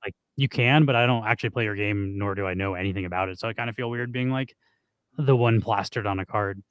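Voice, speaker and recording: A hoarse man co-hosting a stream, chatting casually and rambling with lots of "like". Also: on the low side, fast talker, no echo, dull audio. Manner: rambling, heavy use of "like". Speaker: streamer co-host.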